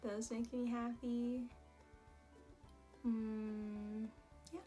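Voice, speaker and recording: A young woman from Canada, a singer answering viewer questions in a casual, chatty vlog with relaxed fillers and asides. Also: a little high, very slow, soft background music. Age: young adult. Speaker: singer and vlogger. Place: small indoor room against a plain wall. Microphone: camera in front at head-and-shoulders framing.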